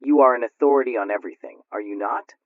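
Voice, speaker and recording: A man's voice: mid-range voice, fast, dry recording, natural voice. A man playing a forlorn, earnest young suitor in a radio play, speaking in a formal, melodramatic manner. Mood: desperate. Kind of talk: radio drama acting. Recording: dull, close, dry recording.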